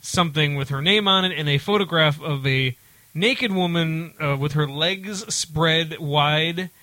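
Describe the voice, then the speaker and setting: A middle-aged man hosting a talk show with casual, comedic banter and an animated, exclamatory way of talking. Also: on the high side, a little slow, no echo, low-quality recording.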